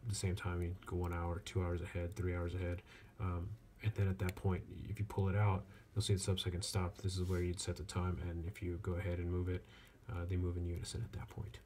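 A man giving a casual hands-on product review as a reviewer, speaking in a halting way with frequent pauses. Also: low-pitched. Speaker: watch reviewer. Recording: clear steady background noise.

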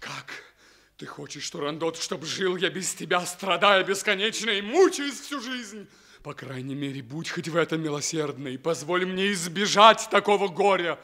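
An actor playing an ardent, lovelorn, noble young prince in fervent, declamatory radio theatre. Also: male voice, high-pitched, lively intonation, pushed and bright, exaggerated voice. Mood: anguished, pleading. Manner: declamatory, fervent, drawn-out delivery. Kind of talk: theatrical radio stage acting.

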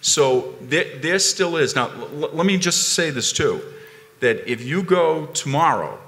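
A man speaking on a conference panel, emphatic and animated, repeating phrases as he builds a point. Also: a little high, unhurried, long reverb tail. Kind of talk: panel discussion. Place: conference ballroom stage.

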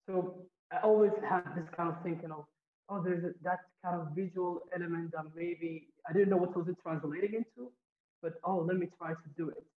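Young man, higher voice, flat delivery, halting speech, roomy echo, muffled call audio. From Somaliland, grew up partly in Saudi Arabia. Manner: hesitant, many fillers and restarts. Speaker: visual artist and photographer. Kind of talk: presenting his work on a video call. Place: small room indoors. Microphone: laptop video call.

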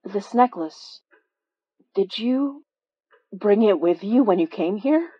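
A woman's slow, halting voice in a dry, slightly dulled recording, an actress in an audio drama. She plays it earnest and anxious, a protective investigator choosing her words. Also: medium pitch, lively intonation, husky, no room sound, muffled recording. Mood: worried, pressing.

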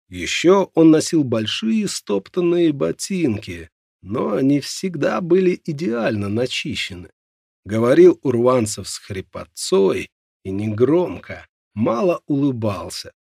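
A man narrates a non-fiction audiobook in a mid-pitched voice with a gravelly, husky grain. His intonation moves expressively through measured storytelling phrases. The sound is close and dry, as on a studio microphone. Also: sing-song, a little mellow, dry recording.

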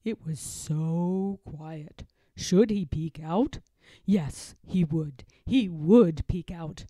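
A woman storyteller reading a children's picture book aloud in a dramatic, expressive way with suspenseful pauses. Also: fairly low pitch, no echo.

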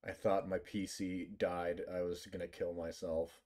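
A man's voice: low voice, level tone, measured pace, a little mellow. A man live streaming a game, chatting casually in a rambling, jokingly dramatic way. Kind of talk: casual gaming livestream chat.

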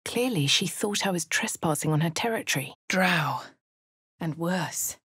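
A woman with a posh English accent voicing a composed young adult adventurer, with measured, clipped narration in a dry studio. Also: fairly low pitch, expressive, fairly bright tone, slightly rough, dry recording, heavily acted. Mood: grave. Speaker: player character voice. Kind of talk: game voice lines.

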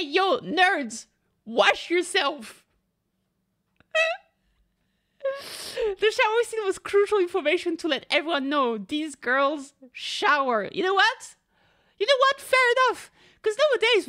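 A young woman streamer giving casual, expressive, joking reaction commentary full of slang. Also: high-pitched.

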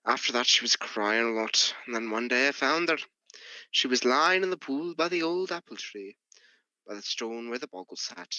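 A man's voice: medium pitch, lively intonation, moderate pace, pushed and bright, no room sound. A man playing an old country man who tells a tragic memory in a plain rustic way, in radio drama style. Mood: sorrowful recollection. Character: old country man recalling a girl's drowning. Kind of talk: 1940s radio drama acting.

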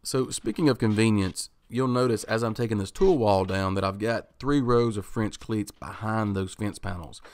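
A man in his thirties, a woodworking channel host, talking through his project in a relaxed voice-over, thinking aloud with ums and restarts. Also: on the low side, normal speed, few pauses. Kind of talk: casual voice-over explaining a project.

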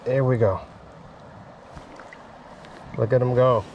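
Guy reeling in fish by a river, pumped up talking to the camera, slow drawn-out delivery, bit of water noise. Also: mid-range voice, fairly even intonation, muffled recording. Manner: exclaims often, talks to viewers. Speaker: fishing vlogger. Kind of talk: excited casual commentary while fishing. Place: outdoors on a river bank. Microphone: chest or head mounted action camera.

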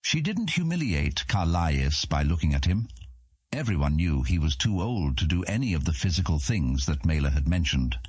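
Very deep, husky male narrator. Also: very animated, normal speed, no room sound. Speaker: audiobook narrator. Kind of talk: scripted fantasy narration.

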